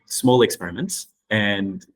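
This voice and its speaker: Slow, measured man, native Tigrinya speaker. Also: fairly low pitch, rough recording. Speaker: interviewed researcher.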